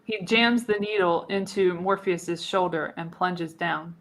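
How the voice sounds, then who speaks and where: A woman's voice: lowish voice, unhurried, a bit bright, rough recording. A woman narrating screenplay stage directions for a live radio play, reading expressively with the occasional stumble. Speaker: narrator, show host.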